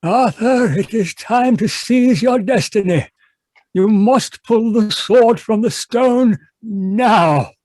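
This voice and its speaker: A man's voice: sits high, takes it slowly, a little mellow, no echo. A grand old wizard from a comic radio advert. He is pompous and theatrical in a raised, exasperated voice, recorded dry. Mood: grandly solemn. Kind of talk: comic old-time radio commercial acting.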